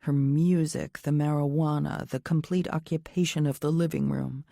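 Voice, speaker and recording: A woman's voice: sits low, steady tone, normal speed, vocal fry, dark tone, no room sound, clean studio sound. A woman reading a first-person thriller audiobook as scripted narration in the present tense.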